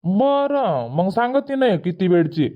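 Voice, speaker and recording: Guy doing an impatient village kid, high voice, argues and whines, varhadi marathi accent, dull audio. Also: very animated, a little slow. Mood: pleading, impatient. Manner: whiny, argumentative. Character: impatient, stubborn village boy. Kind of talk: cartoon comedy dialogue.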